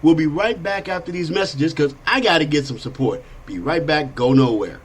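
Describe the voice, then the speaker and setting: A middle-aged man hosting a casual political talk show, rambling and animated with frequent restarts. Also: on the high side, moderate pace. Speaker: podcast host.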